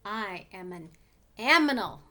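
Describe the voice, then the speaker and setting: A woman's voice: mid-range voice, expressive, measured pace, pushed and bright. A woman voicing an odd, greedy storybook creature, fierce and gleeful, boastful and smug in the way it insists. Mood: proud.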